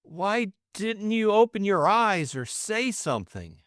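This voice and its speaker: A man's voice: high voice, big pitch swings, takes it slowly, fairly bright tone, no echo, studio quality, voice pushed up, full character voice. A man voicing a flustered, lovestruck young Englishman, stammering and eager. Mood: astonished.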